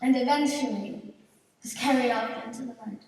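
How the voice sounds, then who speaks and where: A woman playing a ghostly storyteller, narrating a dark folk legend on stage with dramatic delivery. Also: a little high, normal speed, creaky. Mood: ominous. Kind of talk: scripted theatrical narration. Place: large indoor theater stage, distant camera. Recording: echoey theater stage from far off.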